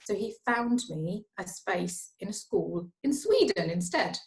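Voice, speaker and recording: Woman guest from Norway, mid-pitched, loose chatty delivery with plenty of repeated words and restarts. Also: expressive, unhurried, darkish tone, poor audio. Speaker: guest, finance professional. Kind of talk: casual interview conversation.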